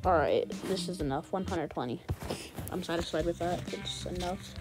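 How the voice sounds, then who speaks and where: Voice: mid-range voice, moderate pace, music in the background. A gamer giving casual, slangy gameplay commentary and often repeating words and phrases. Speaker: gaming video host.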